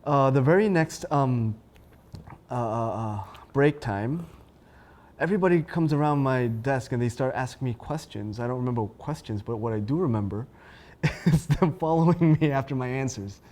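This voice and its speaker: A man from the United States sits as a talk-show guest. He tells his stories with frequent laughs, fillers and restarts, at an ordinary middle pitch. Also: normal speed, darkish tone. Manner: chatty, laughs often, many restarts and fillers. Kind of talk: casual interview chat.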